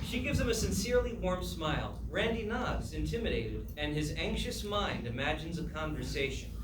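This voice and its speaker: Higher-voiced man narrating, echoey room. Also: lively intonation, normal speed. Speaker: stage-direction narrator. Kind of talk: scripted narration at a live table read. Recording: echoey room, distant mic, clear background noise.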